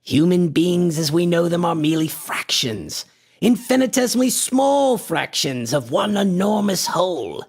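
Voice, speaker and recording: Radio horror role: male actor as a grandiose, obsessed scholar-visionary, husky and theatrical, with a widely swinging, declamatory delivery. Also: sits high, sing-song, moderate pace, full character voice. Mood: grandiose, mystical. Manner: impassioned, declamatory, pompous.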